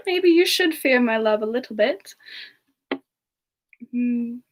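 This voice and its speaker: A woman playing a playful, gentle female demon character, soft-spoken and giggly in a casual semi-ASMR live chat. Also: on the high side, animated, very slow, heavily acted. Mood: playful, relaxed. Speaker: streamer answering chat and taking song requests.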